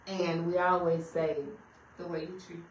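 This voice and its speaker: A woman with a raspy voice co-hosting a radio talk show, speaking firmly and casually. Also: fairly low pitch, roomy sound, far from the mic, a little hiss or hum, poor audio. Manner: firm, with lots of affirming mm-hmms.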